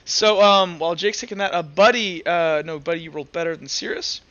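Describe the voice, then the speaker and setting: A man's voice: sits high, a little fast, a bit bright, highs cut off. A man acting as game master, casually running an online tabletop game and thinking aloud as he goes.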